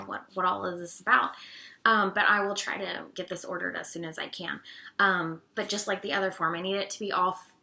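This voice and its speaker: A woman with a bright, forward tone and a raspy edge from allergies. She talks in a casual, friendly way, stopping often on "um" as she walks through the details. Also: medium pitch. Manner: friendly, chatty, many "um"s. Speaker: co-op treasurer. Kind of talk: casual informational video talk.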